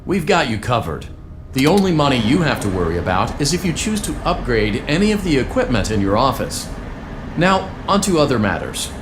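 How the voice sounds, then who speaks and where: A man's voice: medium pitch, sing-song, fairly quick, background noise, natural voice. A man voicing an upbeat, salesy corporate training narrator in a video game, with a peppy, encouraging manner. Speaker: tutorial guide for a pizzeria franchise. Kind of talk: scripted tutorial narration. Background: music.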